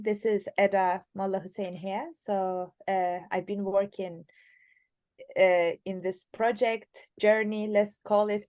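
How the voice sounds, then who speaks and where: A woman youth coordinator speaking on a video call, halting with many fillers. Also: medium pitch, a little mellow. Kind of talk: online group discussion.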